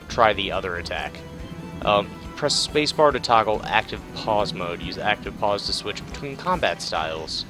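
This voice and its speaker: A man with a low voice gives casual let's play commentary. He is laid-back and thinks aloud, with game music clearly behind him.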